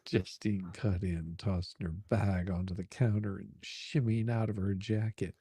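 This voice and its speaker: A man's voice: on the low side, level tone, a little slow, warm tone, breathy edge, no echo, clean studio sound. A man narrating a steamy romance story as a dramatic reading.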